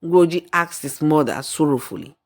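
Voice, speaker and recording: A woman narrating a folktale in a deliberate, dramatic storyteller's manner. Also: sits low. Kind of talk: scripted folktale narration with acted dialogue and song.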